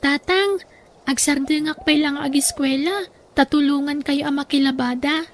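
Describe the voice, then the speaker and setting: An actress with an Ilocano accent playing a caring, dutiful young elder sister in a radio drama. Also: female voice, high voice, fairly even intonation, normal speed, a little hiss or hum. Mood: earnest, pleading.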